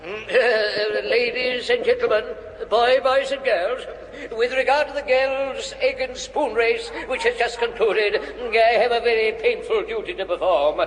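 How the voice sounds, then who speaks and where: A man's voice: very high voice, a little slow, fairly bright tone, big-room sound, rough recording. A man playing a pompous, kindly old country vicar with an upper-class English accent, speaking formally and ponderously in a radio comedy. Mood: grave, solemn. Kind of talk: British radio comedy acting.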